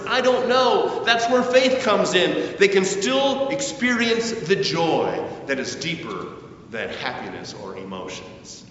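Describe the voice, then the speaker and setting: A man preaching a sermon as a pastor, with measured, deliberate phrasing, emphatic rises and falling sentence endings. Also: a bit bright. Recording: very echoey church, distant mic, muffled, faint rumble.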